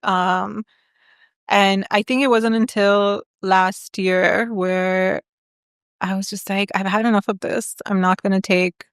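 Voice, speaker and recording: A woman's voice: medium pitch, slow, clear, resonant tone, no room sound, clean studio sound. Candid, chatty woman telling stories. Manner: candid, animated storyteller, lots of "like" and "um". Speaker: guest, agency founder. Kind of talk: casual interview chat.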